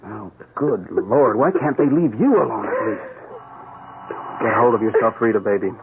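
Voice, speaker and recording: An actor playing a beleaguered, plain-spoken police sergeant and loving husband in a radio drama. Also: male voice, fairly low pitch, unhurried, vocal fry. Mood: troubled, tender. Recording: noisy, tinny old radio broadcast.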